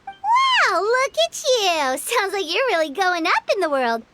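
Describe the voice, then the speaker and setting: A woman's voice: very high-pitched, wildly swooping pitch, a little slow, heavy background noise, exaggerated voice. A woman voicing a tiny, cheeky fairy companion in a video game, cartoonish, sassy and skeptical. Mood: teasing. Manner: sassy, skeptical, refers to self in third person.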